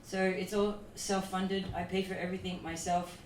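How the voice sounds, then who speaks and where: A woman from Dubai talks on a conference panel in a loose, chatty way, full of fillers and "like." Her voice sits low and barely moves in pitch. She is heard from a distance in an echoey hall with faint noise behind her. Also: little pitch movement, normal speed. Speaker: panel speaker, events promoter.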